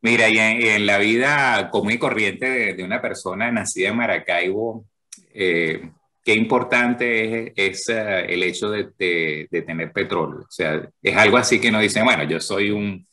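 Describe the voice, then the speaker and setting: Venezuelan guy interviewing, lowish bright voice, lots of eh and restarts. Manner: hesitant, halting questions. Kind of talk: conversational interview.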